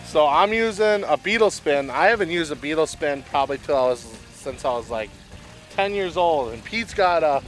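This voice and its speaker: A man with a slightly high voice chats while fishing from an open boat on a lake. His talk is easy-going and full of fillers. Faint music sits underneath. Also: expressive, moderate pace. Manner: chatty, many fillers. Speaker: angler on a fishing show. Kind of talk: casual chat while fishing.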